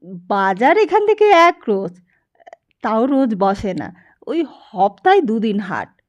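A woman's voice: on the high side, big pitch swings, slow, highs cut off. Meek, mumbling henpecked husband, read by a woman. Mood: meek, placating. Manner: mumbling, hesitant, placating. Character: meek, henpecked middle-aged husband, a resigned government clerk.

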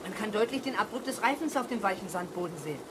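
A young-sounding performer playing a boastful, excited boy detective in a children's radio play, eager and self-assured. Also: mid-range voice, fast talker, lightly acted. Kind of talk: 1970s German children's radio play acting. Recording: clear engine hum and noise.

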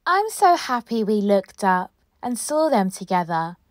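A woman reading a children's picture book aloud with expressive, dramatic storytelling delivery. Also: medium pitch, unhurried. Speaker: storybook reader.

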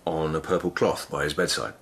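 An actor playing a grave, courteous palace lieutenant in a radio drama, measured and formal in manner. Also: male voice, very deep voice, creaky, a bit bright, slightly rough, heavily acted. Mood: probing.

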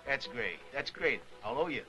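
A man's voice: mid-range voice, big pitch swings, normal speed, loud music behind the voice, close to an everyday voice. A middle-aged actor playing a conciliatory, cordial businessman on the phone in a 1980s training film. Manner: apologetic, cordial. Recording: faintly noisy 1980s film soundtrack.

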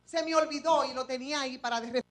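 A middle-aged Dominican woman speaks in a high voice on a studio talk show panel. She argues insistently and pushes through interruptions to make her point. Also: fast talker. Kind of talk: heated live political debate. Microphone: handheld microphone.

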